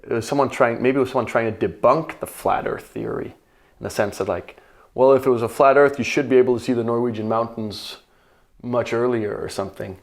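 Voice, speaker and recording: A man's voice: mid-range voice, a little fast, creaky. A Danish man speaking English as a second language, a guest on a podcast, talking in a reflective way, searching for words and laughing easily. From Denmark. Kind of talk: casual conversation.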